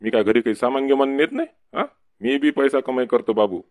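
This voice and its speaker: A man's voice: mid-range voice, brisk, darkish tone, no echo, close to an everyday voice. A man playing a pushy, boastful drunkard uncle in a village cartoon, rambling and cajoling with a Varhadi Marathi accent. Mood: defensive. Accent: Varhadi Marathi (Vidarbha). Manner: rambling, cajoling, repetitive tag questions. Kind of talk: comic village cartoon dialogue.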